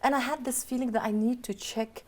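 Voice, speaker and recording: A woman's voice: fairly high pitch, fairly even intonation, a little fast. Chatty Bhutanese woman, slightly lost voice. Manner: open, chatty, frequent "you know". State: partly lost voice, sick. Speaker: guest. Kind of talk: candid personal interview.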